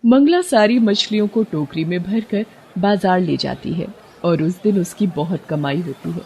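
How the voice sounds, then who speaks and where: A woman narrating a cartoon story in a plain, steady storytelling manner. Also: lowish voice, normal speed, a little hiss or hum.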